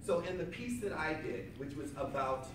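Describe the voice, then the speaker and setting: A man giving a motivational seminar talk to a room of people, loud and emphatic, repeating his key lines back to the crowd. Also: on the high side, animated, moderate pace. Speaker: seminar speaker. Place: hotel conference room with seated audience. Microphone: far camera at back of room, no microphone. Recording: echoey room from far off with audience noise.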